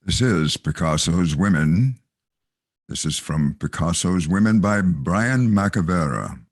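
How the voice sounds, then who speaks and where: A man with a deep voice narrates from a script. His intonation moves widely and expressively, and the sound is close and dry. The style is that of a theatrical introduction. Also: lively intonation, takes it slowly, slightly rough, no room sound. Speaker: narrator, voice actor.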